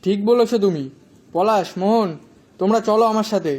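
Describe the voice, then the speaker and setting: Man playing high, quick-talking worried villager. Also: a little mellow. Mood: earnest, worried. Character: worried, pleading, deferential male villager. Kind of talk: Bengali animated folk-tale dialogue.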